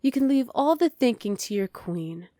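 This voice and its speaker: A woman's voice: on the high side, lively intonation, moderate pace, mellow, no room sound, studio quality, natural voice. A woman voicing a dominant, haughty evil queen in an ASMR roleplay, imperious, sly and condescending. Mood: smug, commanding.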